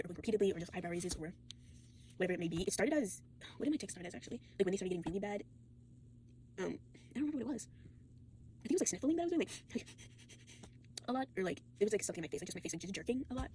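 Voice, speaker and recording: A woman's voice: mid-range voice, lively intonation, very fast, pauses often, mellow, a little hiss or hum. A tired, sore teenage girl rambling casually to a phone, full of fillers, restarts and swearing. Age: late teens. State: fatigued and sore. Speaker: vlogger.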